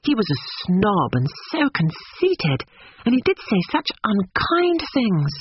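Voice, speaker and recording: A man's voice: high-pitched, huge pitch swings, slow, dull audio, a little hiss or hum, voice pushed up, heavily acted. A man voicing an earnest, spirited young upper-class woman in an audiobook, impulsive and emphatic. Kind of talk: audiobook character dialogue.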